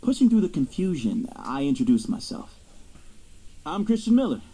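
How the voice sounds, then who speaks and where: A man's voice: fairly high pitch, big pitch swings, normal speed, dark tone, breathy edge, exaggerated voice, low-quality recording. An actor playing a young male athlete, guarded and curious, narrating his own story in an audio drama. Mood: puzzled, introspective. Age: young adult. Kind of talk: first-person audio drama narration and dialogue.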